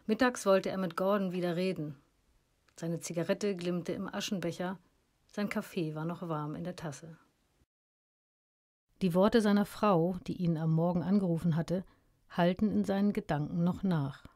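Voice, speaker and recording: A woman reading scripted narration in a calm, soft way. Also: on the low side, moderate pace.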